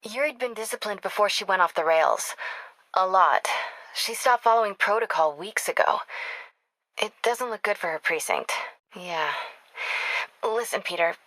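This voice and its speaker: A woman's voice: medium pitch, normal speed, long pauses, a bit bright, no room sound, close to an everyday voice, low-quality recording. An actress playing a driven, caring young woman reporter in a video game, speaking in an earnest, conversational way. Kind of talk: video game voice acting, natural phone-call dialogue.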